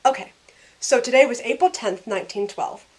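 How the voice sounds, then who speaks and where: A woman's voice: on the high side, normal speed, lightly acted, low-quality recording. A woman playing a snarky young heroine in an audio drama, narrating in the first person and speaking her lines with wry sarcasm. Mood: wry narration. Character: snarky young woman thrown back aboard the Titanic. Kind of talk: first-person scripted narration and acted dialogue.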